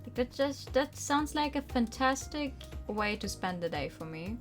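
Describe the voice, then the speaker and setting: Young woman streaming over soft music. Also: a little high, unhurried. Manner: animated, informal, rambling asides. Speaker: streamer playing a visual novel. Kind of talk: read-aloud narration mixed with casual chat. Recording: music behind the voice. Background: background game music.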